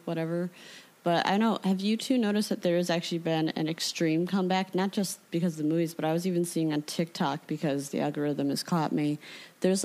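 A woman with a distinctly low voice hosts an informal interview. She asks questions in a loose, chatty way, with casual filler words throughout. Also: darkish tone. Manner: informal, with frequent "like". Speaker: podcast interviewer.

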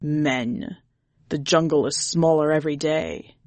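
A woman voicing a grave, gruff but tender male tiger who speaks slowly and formally in a storybook reading. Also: low-pitched, a little mellow, no room sound. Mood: melancholy. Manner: slow, formal, solemn speech.

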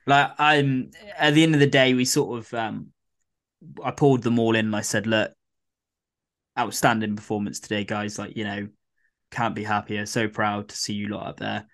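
A man co-hosting a podcast in casual chat, his voice a bit croaky, rambling with lots of fillers and restarts. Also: on the low side, flat delivery, moderate pace, a bit bright. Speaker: podcast co-host.

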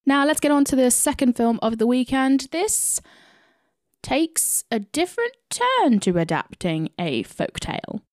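A woman's voice: high-pitched, very animated, unhurried, no room sound, studio quality. A British woman hosting a film podcast, giving chatty, opinionated reviews in a conversational style. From United Kingdom.

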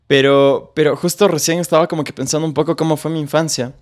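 A man's voice: fairly high pitch, fairly even intonation, quick pace. A young man from Ecuador with a Quito accent, a guest in a conversational interview, reasoning aloud analytically with frequent hesitant fillers. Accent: Quito Ecuadorian Spanish. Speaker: guest, economist.

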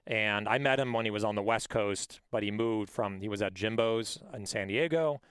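A man's voice: fairly low pitch, moderate pace, a bit bright, smooth, dry recording, clean studio sound. A man hosting a podcast, upbeat and encouraging, often checking in with "right?". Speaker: podcast host. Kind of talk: casual interview chat.

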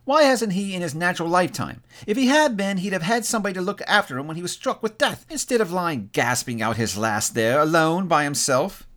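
A man's voice: high voice, lively intonation, fairly quick, hardly any pauses, pitched above the performer's own voice. A man voicing a brazen, defiant, hard-hearted woman thief in a storybook reading. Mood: scornful, bold. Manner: loud, challenging, working-class plain speech.

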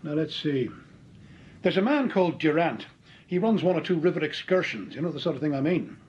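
An actor playing a smooth, mocking male crook who has faked his own death, in a radio drama. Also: a little high, lively intonation, fairly quick, a little mellow, dull audio, lightly acted. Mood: suave. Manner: suave, mocking. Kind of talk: 1940s radio acting.

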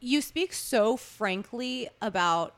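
A woman hosting a relaxed podcast interview. She asks direct, curious questions, laughs easily, and thinks aloud with lots of casual fillers. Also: fairly high pitch, slow. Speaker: podcast host.

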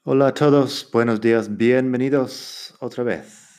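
A man hosting an English-learning podcast, giving an upbeat, promotional introduction. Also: normal speed. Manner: lively, promotional, swooping up in pitch. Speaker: podcast host, English teacher. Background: faint music.

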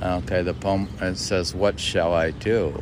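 A man reading his own poems with casual, rambling commentary, halting and musing as he puts questions to himself. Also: low voice, flat delivery. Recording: noisy outdoor recording with birds. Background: birdsong, ambient noise.

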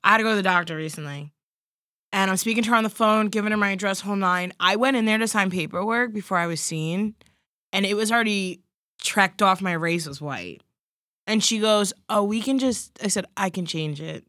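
A woman's voice: mid-range voice, fairly quick, bright, forceful tone, no echo. New woman chatting on a podcast, tells stories emphatically, close dry recording. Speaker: podcast host. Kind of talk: casual conversation, animated storytelling.

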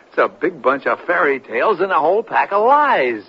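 An actor playing a scoffing, dismissive listener to tall tales, with a gravelly voice, in a 1970s radio drama. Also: male voice, fairly high pitch, very animated, moderate pace, exaggerated voice. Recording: dull, noisy 1970s radio recording.

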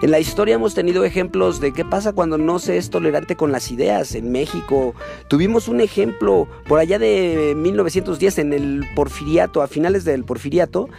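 Slangy Mexican man with a gravelly voice. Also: a little high, fairly quick, mellow, soft background music. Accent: Mexican Spanish. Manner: laid-back, slangy, chuckles often. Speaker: podcast host. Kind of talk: casual podcast chat and solo commentary.

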